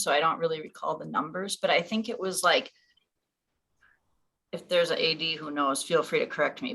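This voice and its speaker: Meeting leader: woman, lower-pitched yet bright, informal and conversational. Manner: casual, conversational, thinking aloud. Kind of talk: informal explanation in a staff meeting.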